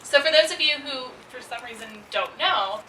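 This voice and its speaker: Upbeat woman moderator, somewhat high. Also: lively intonation, moderate pace, bright, forceful tone, slight background noise. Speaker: panel moderator. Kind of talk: casual panel moderating. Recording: echoey room, from a distance.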